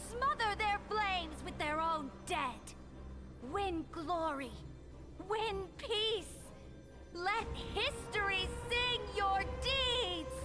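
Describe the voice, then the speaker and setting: An actress playing a solemn storyteller of an epic war legend in a video game, dramatic, stately and declamatory. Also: female voice, extremely high pitch, exaggerated voice. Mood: rousing, defiant. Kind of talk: scripted game narration, voice acting. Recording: loud game music behind the voice.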